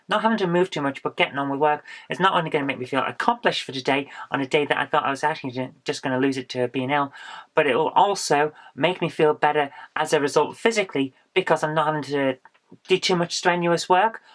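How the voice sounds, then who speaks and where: A woman's voice: low voice, fast. A woman vlogging from a small home office, her voice stuffy and bunged up from a cold. She talks in a loose, rambling way, circling back with fillers and restarts. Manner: rambling, chatty, with frequent ums and restarts. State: congested, bunged-up voice from illness. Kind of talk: casual vlog chat.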